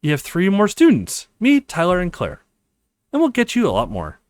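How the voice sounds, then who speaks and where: An earnest young college man read by a male let's player, reassuring and confident. His pitch moves up and down a great deal, in a dry, close recording of visual novel dialogue. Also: huge pitch swings, moderate pace, a little mellow, no echo.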